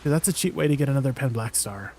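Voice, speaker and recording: Gravelly Canadian man, casual streamer. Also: mid-range voice, fast talker, slight background noise. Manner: relaxed, rambling, trailing off at line ends. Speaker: game streamer.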